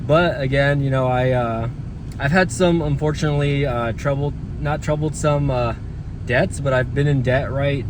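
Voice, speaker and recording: A man's voice: mid-range voice, steady tone, normal speed. Thirty-something man chatting while driving. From South Texas. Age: 30s. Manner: rambling, many fillers and restarts. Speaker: delivery driver vlogger. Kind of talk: casual vlog talk while driving. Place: inside a car on suburban streets. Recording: loud road and car noise.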